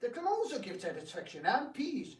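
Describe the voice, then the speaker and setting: A man's voice: extremely high pitch, wildly swooping pitch, a little fast, exaggerated voice. A man playing a proud, scheming prince who feigns gracious courtesy in a theatrical reading. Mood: amused condescension. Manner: florid, exaggerated courtesy. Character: proud, scheming Indian prince, a grandfather, falsely gracious host. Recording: echoey room, distant mic, background noise.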